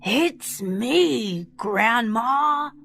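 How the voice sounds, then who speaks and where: A woman voicing a sly, coaxing wolf in a slow storybook reading for English learners. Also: a little high, lively intonation, creaky, fairly bright tone, faint music behind, pitched above the performer's own voice, full character voice. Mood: sweetly deceitful. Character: sly big bad wolf, coaxing and deceitful.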